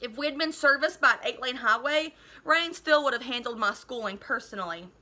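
A woman's voice: a little high, animated, normal speed, sharp tone. A woman in her thirties reading a children's novel aloud expressively, like a teacher. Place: living room at home.

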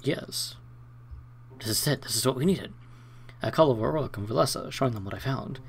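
A man's voice: medium pitch, fairly quick, vocal fry, a little hiss or hum. A man hosting a let's play, reading game narration aloud with short asides.

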